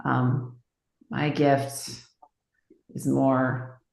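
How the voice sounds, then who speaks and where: A woman's voice: a bass voice, level tone, very slow, creaky, a little mellow, roomy sound. A woman in a peer discussion group, without a pastoral background, who thinks aloud and explores her questions as she talks.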